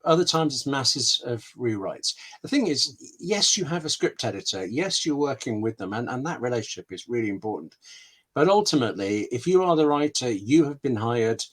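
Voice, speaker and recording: A man's voice: mid-range voice, big pitch swings, normal speed. A man answering questions as a scriptwriter guest in a conversational way, telling anecdotes and thinking aloud with asides and questions to himself. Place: home room with books, on a video call.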